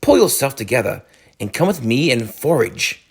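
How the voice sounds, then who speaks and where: A man voicing a cheery, brisk, encouraging rat in a storybook, with a hearty, chummy manner. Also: mid-range voice, sing-song, breathy edge. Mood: calm encouragement. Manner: hearty, upbeat, chummy British-storybook phrasing.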